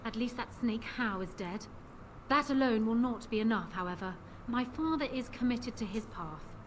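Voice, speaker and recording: A woman's voice: fairly high pitch, expressive, moderate pace, close to an everyday voice. A woman playing a proud, calculating young queen in a fantasy video game, speaking formally and with assertive composure. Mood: grave, determined. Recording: game audio with clear background noise.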